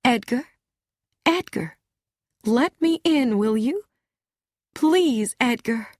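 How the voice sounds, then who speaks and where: A woman reading an audiobook, voicing a gentle, unruffled older woman who speaks softly. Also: fairly high pitch, animated, takes it slowly, no room sound, clean studio sound, pitched above the performer's own voice. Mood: soothing. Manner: soft-spoken.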